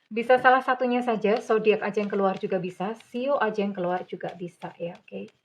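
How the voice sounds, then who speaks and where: A woman's voice: mid-range voice, fairly even intonation, fairly quick. Indonesian woman doing a tarot reading. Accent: Javanese-influenced Indonesian. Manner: soothing, addresses listener as dear, calls herself Bunda. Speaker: tarot reader. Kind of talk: general tarot reading to viewers.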